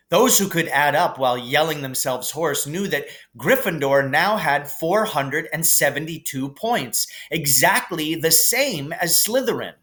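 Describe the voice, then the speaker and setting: A man reading a children's novel aloud as an expressive storybook narrator. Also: fairly high pitch.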